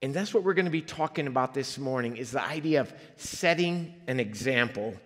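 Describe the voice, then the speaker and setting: Male guest preacher raised in Liberia, middle pitch, earnest testimony, long church reverb. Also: lively intonation, a little fast. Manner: conversational, earnest storytelling with audience asides. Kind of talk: personal testimony in a sermon. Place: church sanctuary.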